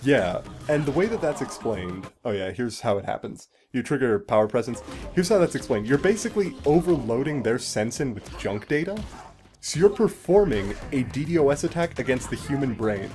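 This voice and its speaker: A man's voice: mid-range voice, lively intonation, normal speed, a little mellow, soft background music. A man giving casual, explanatory game commentary as a let's play host, swearing casually now and then.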